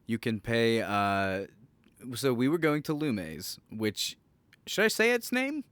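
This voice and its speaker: A man's voice: mid-range voice, big pitch swings, takes it slowly, fairly bright tone, clear, resonant tone, no room sound. A young man hosting a casual podcast, joking, laughing often and swearing while telling a story. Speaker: podcast host.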